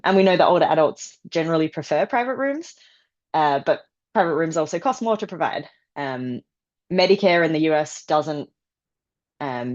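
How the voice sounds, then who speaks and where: A woman's voice: deep voice, a little mellow. A young Australian woman giving an upbeat webinar talk on her research, with frequent ums. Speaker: PhD candidate presenting research. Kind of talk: webinar presentation. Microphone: video call. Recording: dull lo-fi video call audio.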